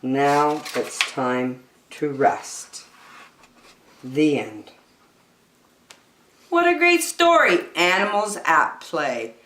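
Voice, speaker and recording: A woman's voice: deep voice, sing-song, very slow, pauses often, bright, forceful tone, reverberant. A woman hosting story time, reading a picture book aloud to young children expressively, with chatty asides and questions.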